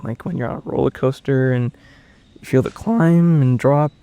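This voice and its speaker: A man's voice: medium pitch, normal speed, dark tone, smooth, lightly acted. A man playing a confused, shaken survivor under questioning in a naturalistic audio drama, hesitant and stammering as he speaks. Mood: confused, searching.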